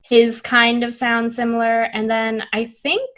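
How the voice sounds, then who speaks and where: A woman's voice: a little high, level tone, measured pace, a bit bright. A young adult woman co-hosting a podcast, chatting casually with friends, laughing often and using many fillers. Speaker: podcast co-host. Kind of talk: casual chat.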